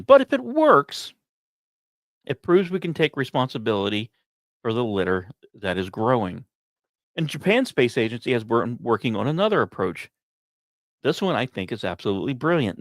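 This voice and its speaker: Animated man presenting science commentary, dry sound. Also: medium pitch, no echo. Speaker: podcast host.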